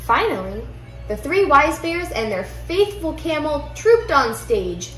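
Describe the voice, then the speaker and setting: A young woman reads a children's picture book aloud with expressive storytelling in a living room. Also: high voice, a little slow. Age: young adult. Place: indoor living room at home. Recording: roomy echo, music behind.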